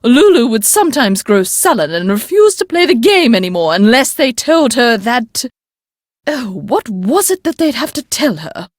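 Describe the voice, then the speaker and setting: A woman's voice: on the high side, very animated, moderate pace, no echo, studio quality. A woman playing an elderly, upper-class English great-aunt in a video game, proper, haughty and emphatic, stern but fond. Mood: fond, reminiscing. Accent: English, upper-class British. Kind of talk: video game character dialogue.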